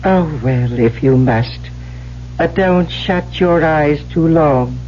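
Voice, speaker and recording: Slow, haughty talking machine. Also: male voice, a little high, a little mellow, a little hiss or hum. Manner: condescending, formal, measured. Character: haughty, commanding talking typewriter with a genius mind. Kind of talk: 1970s radio drama acting. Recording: muffled, humming old radio broadcast.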